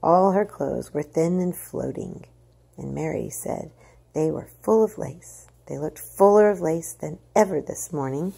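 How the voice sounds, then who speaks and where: Low, gravelly woman reading a story aloud. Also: expressive, unhurried, dark tone. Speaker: storybook reader. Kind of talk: expressive read-aloud story narration.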